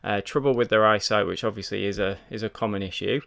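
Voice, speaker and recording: Male reviewer, smooth but gravelly low voice, casual chatty delivery. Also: fast talker, fairly bright tone. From United Kingdom. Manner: chatty, full of ums and doubled words. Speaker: watch reviewer. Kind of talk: casual product review to camera.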